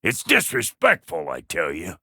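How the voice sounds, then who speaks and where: A man voicing a gruff, impatient, foul-mouthed dwarf blacksmith in a video game, with a gravelly, raspy voice and folksy, irreverent talk. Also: low-pitched, huge pitch swings, moderate pace, bright, forceful tone, dry recording, clean studio sound, exaggerated voice. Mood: grouchy, determined. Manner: folksy, irreverent, colloquial grammar. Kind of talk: video game character dialogue.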